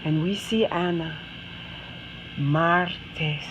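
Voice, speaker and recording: A woman reading a children's story aloud with dramatic, deliberate phrasing. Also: sits low, dull audio, noisy background. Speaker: storybook reader.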